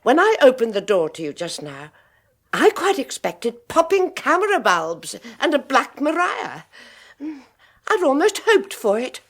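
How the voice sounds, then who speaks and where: An actress playing a genteel bereaved older sister, nervous and sardonic by turns. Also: female voice, high-pitched, huge pitch swings, a little slow, a bit bright, exaggerated voice. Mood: bitter. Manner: fluttery, nervous, then sardonic.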